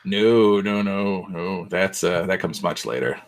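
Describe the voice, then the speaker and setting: A man's voice: deep voice, measured pace. A man co-hosting a livestream, casually chatting and teasing the others with firm opinions.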